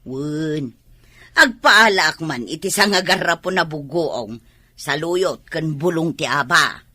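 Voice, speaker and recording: An actress with an Ilocano accent playing a pushy older acquaintance asking favours in a comedy drama. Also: female voice, low voice, animated, slow, pushed and bright, husky, no room sound, full character voice. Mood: demanding.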